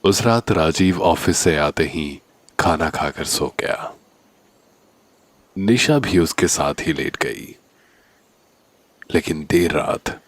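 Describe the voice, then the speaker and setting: A man narrating a scripted horror story in a deliberate, suspenseful manner. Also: rumbling low, fairly bright tone, no room sound.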